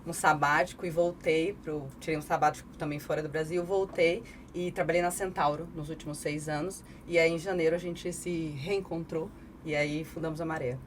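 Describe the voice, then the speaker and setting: A woman's voice: deep voice, steady tone, brisk, hardly any pauses, a little hiss or hum. A woman in her forties from Salvador, with a Bahian accent, casually recounting her career as a podcast guest. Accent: Bahian Portuguese. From Salvador, Brazil. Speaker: podcast guest, strategy expert.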